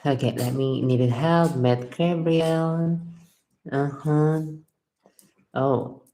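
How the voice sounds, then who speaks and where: A woman's voice: low-pitched, fairly even intonation, drawn-out words, dark tone. A woman from Ecuador, a tarot reader chatting with viewers on a live stream, reading their comments aloud and reacting, often repeating her own phrases. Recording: echoey room.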